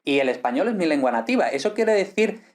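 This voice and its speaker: A man from Spain with a fairly high voice gives an explanatory commentary. He speaks with a peninsular Spanish accent and argues emphatically, posing rhetorical questions as he takes claims apart. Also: sing-song, fast. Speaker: linguistics explainer, video host. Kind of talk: explanatory commentary debunking a book.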